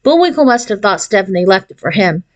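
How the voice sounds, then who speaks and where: Female reader for a children's chapter book, mid-range voice, expressive narration. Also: medium pitch, quick pace, dull audio. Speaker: audiobook reader. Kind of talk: expressive read-aloud of a children's novel.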